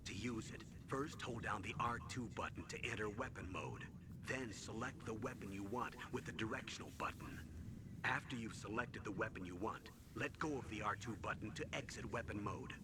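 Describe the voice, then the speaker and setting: A stern older commander from a stealth video game, played by a male actor. His voice is fairly low and steady, giving orders in a measured, authoritative way. There is clear rumbling noise in the recording. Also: close to an everyday voice. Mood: instructive. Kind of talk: scripted video game voice acting.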